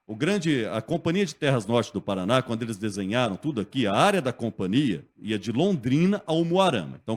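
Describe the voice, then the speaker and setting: A man telling local history as a guest in a radio studio, lively and conversational, often checking in with the listener. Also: on the high side. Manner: lively storyteller, acts out quotes, often asks "né?".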